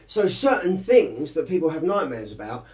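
A man's voice: on the high side, big pitch swings, moderate pace, a little mellow. A man in his thirties giving a casual songwriting lesson, chatty, rambling and encouraging. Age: 30s. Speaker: music teacher. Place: small home music studio, indoors. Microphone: camera across the room. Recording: distant room camera mic.

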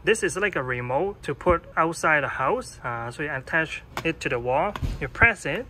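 A man's voice: fairly high pitch, lively intonation, normal speed, background noise. A man in his thirties presenting a casual, hands-on tech tutorial and explaining it step by step.

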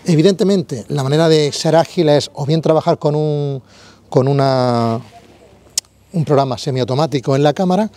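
A man's voice: fairly high pitch, mellow. A man giving a casual photography lesson to viewers while standing on a city street, speaking directly to the camera and talking his way through his ideas. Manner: explanatory, addresses viewers directly, frequent "vale" and fillers. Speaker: photography vlogger. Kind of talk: casual instructional vlog on location.

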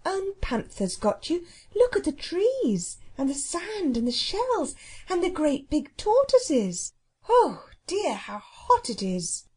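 A woman's voice: a little high, animated, moderate pace, a little mellow, husky. A woman voicing a kind, sensible young girl, a motherly elder sister who soothes and exclaims in a storybook. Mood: soothing.

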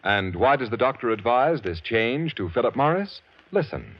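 Husky, emphatic American radio announcer. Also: male voice, mid-range voice, very animated, moderate pace, no echo. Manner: emphatic, formal salesmanship. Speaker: commercial announcer. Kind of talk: 1940s radio advertising read. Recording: dull, scratchy vintage radio.

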